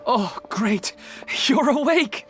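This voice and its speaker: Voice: high voice, lively intonation, slow pace, a bit bright, soft background music, full character voice. An actor playing a dutiful, earnest city guard giving a report in a video game. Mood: relieved, eager. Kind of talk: scripted video game voice acting.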